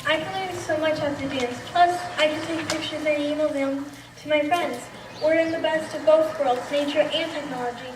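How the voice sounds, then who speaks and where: A woman playing an excited, nature-loving youngster in an amateur radio play, with gushing delivery. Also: high-pitched, normal speed, hardly any pauses, background noise, lightly acted. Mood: excited, awed. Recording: echoey room, distant mic, music behind.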